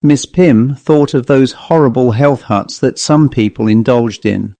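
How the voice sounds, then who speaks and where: A male audiobook narrator reads a literary ghost story. His voice sits at a middle pitch, and the recording is close and dry, with no room around it. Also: a little slow, mellow, no room sound.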